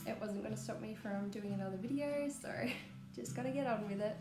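A young woman talks casually through a home craft project, her voice a little hoarse and croaky from a cold. She sounds mid-pitched and friendly in a bare, echoey room. Plucked guitar music runs clearly underneath. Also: fairly quick. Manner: chatty, explains steps as she works. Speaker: DIY vlogger. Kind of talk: casual home DIY vlog. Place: small home rooms, nursery.

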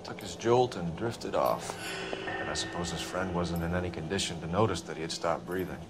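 Upright young American police detective, 1940s game drama, clipped formal delivery, low pitch, loud score. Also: male voice, fairly quick. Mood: sober, analytical. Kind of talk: video game dialogue. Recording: loud music behind the voice.

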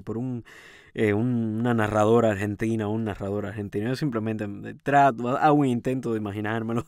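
A man reading a novel aloud as an audiobook host, in an expressive, theatrical and chatty storytelling manner. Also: on the low side, dry recording, clean studio sound. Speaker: audiobook reader, programme host. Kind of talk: expressive literary narration with chatty asides.

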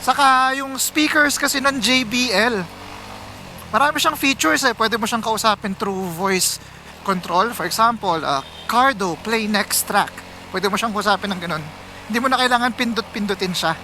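A Filipino man talks casually to his viewers while riding. His voice sits high and has a bright, sharp edge. He drops English phrases into his conversational flow, with some road noise behind him. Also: normal speed. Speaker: motorcycle vlogger. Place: outdoors, riding a motorcycle on city roads.

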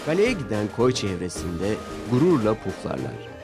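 A man's voice: on the low side, very animated, normal speed, vocal fry. A man narrating a scripted children's story as the storyteller. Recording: music bed behind narration. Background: music.

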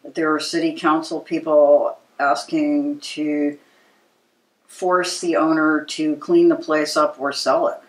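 An American woman in her sixties explaining things in a measured way during a conversational interview as a local preservation advocate. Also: low-pitched, little pitch movement. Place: living room with books, indoors. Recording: roomy, echoey living room.

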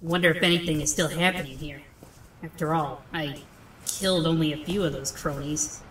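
A woman's voice: sits low, fairly even intonation, moderate pace, natural voice. A woman playing a troubled, determined young comic book heroine thinking aloud, recorded with echo at a distance. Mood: musing. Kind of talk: comic book dub acting, inner monologue. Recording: echoey, distant from the mic.

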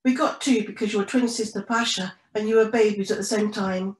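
A woman's voice: medium pitch, fairly even intonation, a little fast, echoey room, close to an everyday voice. A woman voicing a kindly, agreeable mother penguin in a children's storybook. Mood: warm, encouraging.

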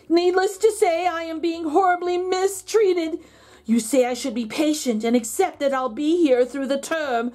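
A woman's voice: very high-pitched, a little mellow, voice pushed up. A woman voicing an indignant, self-pitying pet dog in a children's storybook, pleading with dramatic emphasis. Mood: wounded indignation. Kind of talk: children's storybook read-aloud.

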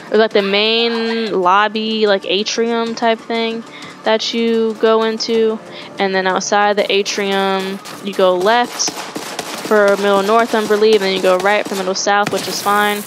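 A woman's voice: medium pitch, little pitch movement, unhurried. A young woman gaming streamer telling a rambling story as she plays, swearing and correcting herself. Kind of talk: casual storytelling over gameplay. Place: home room, webcam. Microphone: headset.

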